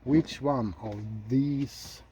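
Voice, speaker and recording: A man's voice: medium pitch, extremely slow, dark tone, slight background noise. A man hosting an ASMR film ranking, chatting in an informal, rambling way with some mild swearing. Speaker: video host reviewing films.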